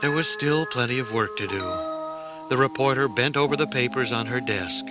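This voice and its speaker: A man's voice: mid-range voice, normal speed. A man reading a children's audio storybook as a dramatic narrator. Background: loud music.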